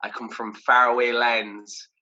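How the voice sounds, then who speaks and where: A man's voice: medium pitch, flat delivery, a little slow, dull audio, noisy background. A young Irish man in casual interview chat, reflective and loose, full of fillers and restarts. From Ireland. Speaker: podcast guest.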